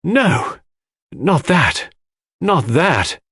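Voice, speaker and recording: A frightened, distraught woman from a mystery audiobook, acted by a man. The pitch is raised and swings widely, with urgent exclamations. The sound is close and dry. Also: very animated, very slow, fairly bright tone, slightly rough, dry recording, heavily acted. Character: frightened, distraught young woman, sharply refusing comfort. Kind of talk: dramatic audiobook mystery reading.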